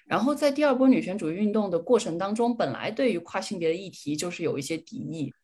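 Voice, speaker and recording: A woman's voice: medium pitch, expressive, fast, warm tone, slightly rough. A woman co-hosting a podcast, discussing things casually in an earnest, reflective way. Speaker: podcast co-host.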